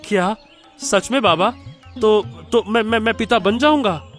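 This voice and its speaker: Cartoon poor husband male actor: high voice, gullible, deferential, hesitant pleader over faint music. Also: measured pace, a bit bright. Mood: amazed, hopeful. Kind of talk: Hindi cartoon moral story acting.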